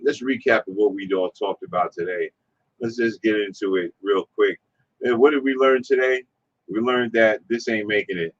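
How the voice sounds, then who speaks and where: A man's voice: fairly low pitch, moderate pace, lo-fi sound. A man hosting a casual chat, laid-back and fond of repeating his agreement. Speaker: host.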